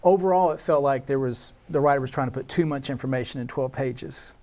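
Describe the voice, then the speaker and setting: Mid-pitched man giving measured critique. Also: darkish tone. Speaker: narrator and feedback panelist.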